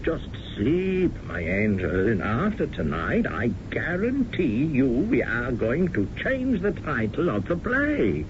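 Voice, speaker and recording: An actor playing a fussing, theatrical stage director in a 1970s radio drama, doting and given to chuckles. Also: male voice, mid-range voice, wildly swooping pitch, slow pace, few pauses. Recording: muffled old radio broadcast with hiss.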